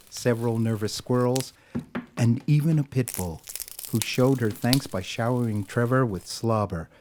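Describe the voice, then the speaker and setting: A man narrating an audio drama in a measured, deliberate storytelling style. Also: medium pitch, darkish tone, a little hiss or hum.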